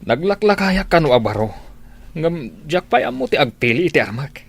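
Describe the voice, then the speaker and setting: A man's voice: fairly high pitch, very animated, normal speed, breathy edge, dry recording. A man playing an earnest, meek middle-aged bachelor in a radio drama. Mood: sheepish.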